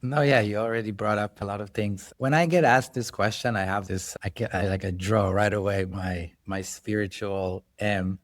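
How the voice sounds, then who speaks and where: A man hosting a podcast, welcoming his guest and speaking in a calm, reflective way. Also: lowish voice, normal speed, few pauses, no echo. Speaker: podcast host.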